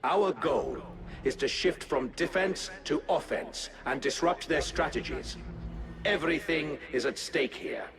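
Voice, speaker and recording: An actor playing a resolute military strategist in a video game, giving a firm, declarative battle briefing. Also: male voice, on the high side, measured pace, a bit bright. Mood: determined. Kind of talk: dramatic scripted game dialogue, battle briefing. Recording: echoing voice under music.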